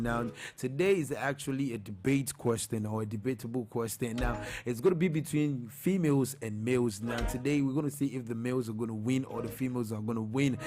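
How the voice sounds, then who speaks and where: Lively Ghanaian man hosting radio. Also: mid-range voice. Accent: Ghanaian English. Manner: lively, excitable, loose slangy talk. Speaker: radio show host. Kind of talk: casual morning show banter.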